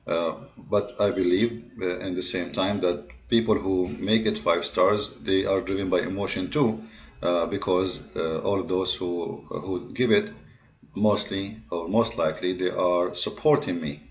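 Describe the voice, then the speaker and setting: A man with a fairly low voice, giving a loose lecture-style talk. His speech stops and starts, full of fillers and repeated words, with grammar. The recording is dull and lo-fi. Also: steady tone. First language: Arabic. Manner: halting, many fillers and restarts, non-native grammar. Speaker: online religious debater and host. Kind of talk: casual lecture-style monologue. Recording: dull lo-fi sound.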